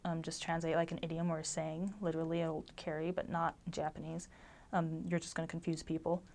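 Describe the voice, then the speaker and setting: A woman's voice: sits low, darkish tone. A young woman giving casual advice about living abroad, rambling with lots of ums and likes. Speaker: former resident giving advice.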